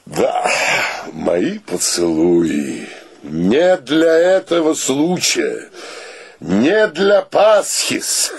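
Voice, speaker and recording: An actor playing a clownish, flirtatious rogue in a radio play, with a theatrical, florid manner. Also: male voice, medium pitch, huge pitch swings, extremely slow, creaky, pauses often, breathy edge, heavily acted. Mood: gallant, exuberant. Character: clownish, flirtatious male friend, grandiloquent lovable rogue.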